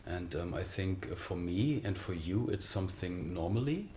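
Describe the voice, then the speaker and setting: A man from Germany with a German accent, speaking English as a second language as an interview guest, halting with frequent pauses and fillers. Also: deep voice, lively intonation, mellow, slight background noise. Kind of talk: conversational interview.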